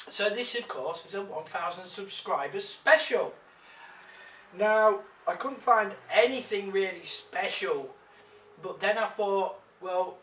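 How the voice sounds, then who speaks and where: A man vlogging casually to his viewers, chatty and grateful, his voice croaky after being ill. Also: high-pitched, fairly bright tone, far from the mic. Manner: chatty, grateful, slightly rambling. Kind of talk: casual vlog to camera. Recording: echoey room, away from the mic.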